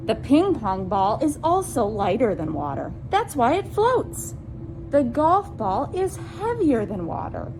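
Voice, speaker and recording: High, slow-paced woman reading to children. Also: background noise. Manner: deliberate, addresses listeners as friends with simple questions. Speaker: children's storytime presenter and reader. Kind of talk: children's read-aloud with asides to listeners.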